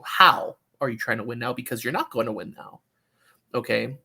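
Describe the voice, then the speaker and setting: A man co-hosting a sports podcast, giving opinions in casual talk and often halting to find his words. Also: medium pitch, lively intonation, creaky, sharp tone, slightly rough. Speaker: podcast co-host. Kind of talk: casual soccer discussion.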